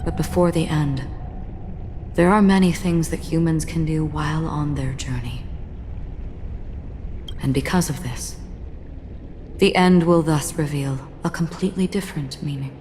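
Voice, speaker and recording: Low-voiced, solemn, stoic swordswoman. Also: mellow, a little hiss or hum. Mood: gentle, philosophical. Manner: measured, grave, formal. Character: solemn, stoic female swordswoman, cryptic and philosophical. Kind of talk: English video game cutscene acting. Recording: long reverb, music behind the voice.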